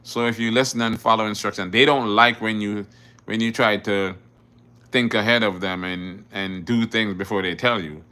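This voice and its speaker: A man's voice: on the low side, steady tone, normal speed, sharp tone, clear, resonant tone. A middle-aged man from Jamaica, a driving instructor, hosting a casual live Q&A where he reads viewer questions aloud and answers them plainly. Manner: repeats words and restarts often. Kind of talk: casual livestream Q&A.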